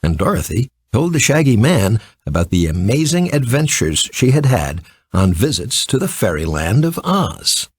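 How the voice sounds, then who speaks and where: A man reading a children's story as an expressive scripted narration. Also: medium pitch, moderate pace, breathy edge, no room sound.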